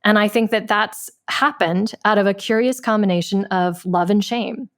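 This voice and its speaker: A woman with a medium-pitched voice talks reflectively. She feels her way through sentences, stopping to repeat and reshape phrases as she goes. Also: steady tone. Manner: halting, searching for words, repeats phrases. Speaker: member of a play's creative team. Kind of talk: reflective discussion.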